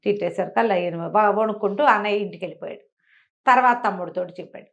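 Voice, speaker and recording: A woman's voice: medium pitch, fast talker, muffled recording. A woman telling a folk tale aloud in a conversational, oral storytelling style.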